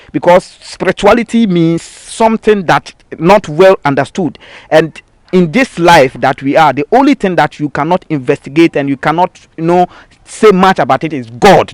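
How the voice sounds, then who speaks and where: A man's voice: on the high side, no echo. A man with a Ghanaian accent and Twi as his native language, explaining in an interview in an emphatic, repetitive teaching manner. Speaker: babalawo spiritual practitioner, interviewee.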